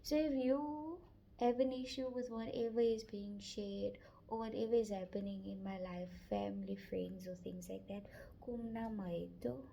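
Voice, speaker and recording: A South African woman with Afrikaans as her first language talks straight to her viewers, blunt and impassioned. Her voice sits a little high, with a dark, mellow timbre and a gravelly fry running through it. She slips between English and Afrikaans as she speaks. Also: a little hiss or hum, low-quality recording. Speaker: vlogger. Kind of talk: personal monologue to camera.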